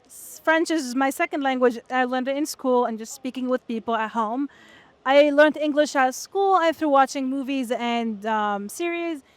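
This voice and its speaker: A woman's voice: sits high, normal speed, no echo. A woman whose first language is Arabic, a student answering eagerly. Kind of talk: casual interview answers.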